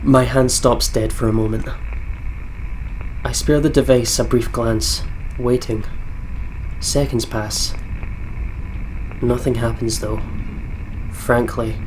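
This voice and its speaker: A man's voice: mid-range voice, level tone, takes it slowly, pauses often, background noise. A man with a Scottish accent reading visual novel narration aloud as a let's player, with casual reactions.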